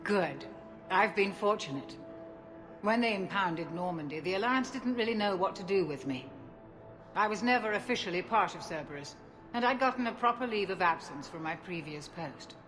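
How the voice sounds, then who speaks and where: An actress playing a loyal female ship's doctor in a video game, speaking in a measured, courteous and formal way. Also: medium pitch, lively intonation, music in the background, close to an everyday voice. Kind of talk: video game cutscene dialogue.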